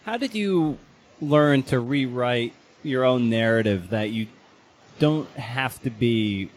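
A man's voice: mid-range voice, slow. A man hosting a casual interview podcast, asking long, roundabout questions in a conversational way.

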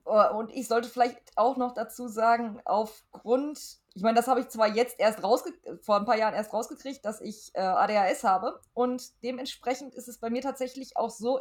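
A woman's voice: on the high side, normal speed, hardly any pauses. A middle-aged woman chatting casually as a podcast guest answering questions. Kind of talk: casual chat.

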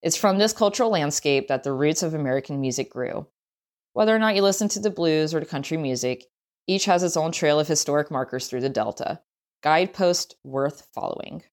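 A woman's voice: low voice, lively intonation, brisk. A woman reading a travel story aloud as scripted narration.

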